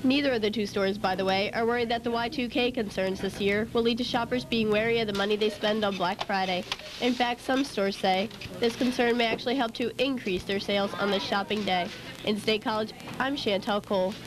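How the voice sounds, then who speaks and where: A woman's voice: fairly even intonation, brisk. A young woman delivering a scripted student television news report. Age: young adult. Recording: clearly noisy with rumble.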